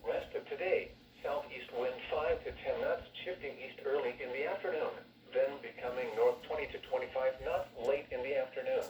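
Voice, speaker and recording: A man's synthetic-sounding announcer voice reading scripted forecasts and notices over weather radio in a formal, measured way. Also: medium pitch, steady tone, a little mellow, recorded from a distance, a little hiss or hum. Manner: formal, automated, evenly measured delivery. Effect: weather radio broadcast voice. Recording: radio speaker picked up in an echoey room. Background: weather radio playback.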